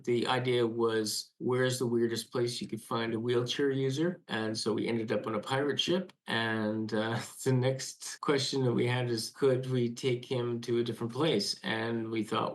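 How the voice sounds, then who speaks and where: A man's voice: mid-range voice, vocal fry. A man giving conversational interview answers as a playwright explaining his work. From Canada.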